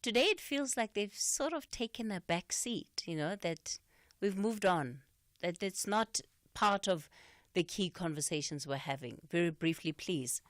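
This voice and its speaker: A woman hosting a radio talk show, asking questions conversationally with softening fillers. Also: on the low side, expressive, measured pace, no room sound, clean studio sound. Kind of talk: radio interview.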